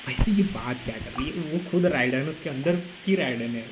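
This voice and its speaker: A man's voice: mid-range voice, animated, very fast, background noise. A young man with an Indian accent giving casual live gameplay commentary as a streamer.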